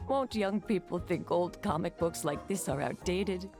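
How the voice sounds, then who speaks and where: Kindly older female game character, wistful, gravelly edge, music clearly behind. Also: lowish voice, a little slow. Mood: warm, nostalgic. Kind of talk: scripted game voice acting. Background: clear background music.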